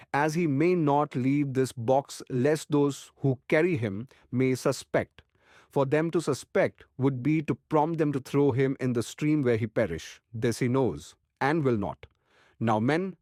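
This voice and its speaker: A man's voice: medium pitch, a little mellow, no echo, studio quality, lightly acted. Man acting an earnest, commanding old professor. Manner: deliberate, formal, oddly phrased speech. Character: earnest, commanding older professor leading vampire hunters. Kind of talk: dramatised audiobook acting.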